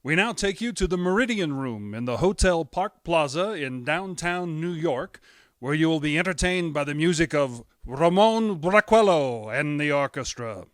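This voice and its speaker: A radio play weather announcer acted by a man with a fairly high male voice. He reads in a formal, factual 1930s broadcast style, recorded dry and close. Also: expressive, normal speed, dry recording, close to an everyday voice. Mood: formal, neutral.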